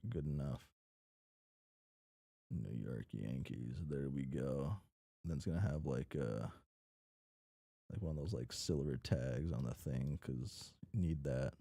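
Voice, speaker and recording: A man's voice: extremely low pitch, slow, creaky, long pauses, dark tone, husky, dry recording, clean studio sound. A man casually chatting and reading aloud in an offhand way, sometimes stumbling over words as the host of a read-along stream. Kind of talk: casual chat while drawing and reading a story aloud.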